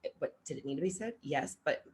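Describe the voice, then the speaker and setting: A woman's voice: low-pitched, lively intonation, fast talker, darkish tone. A woman with a big voice chatting casually and frankly as a podcast guest, with a teasing streak. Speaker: podcast guest, friend of the host. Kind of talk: casual chat.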